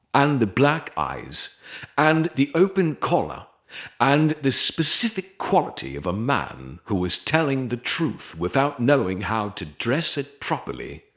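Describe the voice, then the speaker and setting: A man narrating a scripted romance story in a deliberate, dramatic way with short measured phrases. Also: medium pitch, a bit bright.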